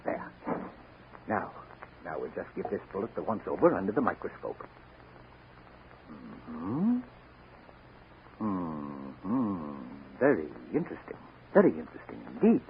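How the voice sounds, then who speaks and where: An actor playing a friendly, eccentric older doctor-chemist in a 1940s radio drama. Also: male voice, deep voice, sing-song, unhurried, pauses often, exaggerated voice. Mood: genial, intrigued. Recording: tinny, noisy 1940 radio recording.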